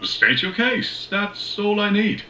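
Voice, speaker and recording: Wildly animated, bright-voiced tycoon. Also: male voice, sits high, full character voice. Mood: probing. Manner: brisk, commanding. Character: brisk, imperious male aerospace tycoon on a remote call. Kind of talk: cinematic audio drama acting. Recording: roomy echo, faint noisy ambience.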